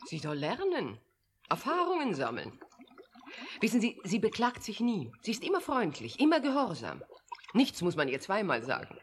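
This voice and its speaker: An actress playing a composed, observant researcher in a German radio drama, phrasing expressively and deliberately. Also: female voice, medium pitch, huge pitch swings, slight background noise. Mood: admiring, thoughtful.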